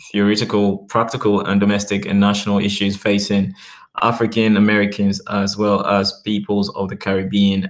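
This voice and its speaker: A man talking casually to his viewers, rambling and often checking in with "okay" while reading web pages aloud slowly. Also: fairly low pitch. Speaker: vlogger. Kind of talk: casual talk to camera.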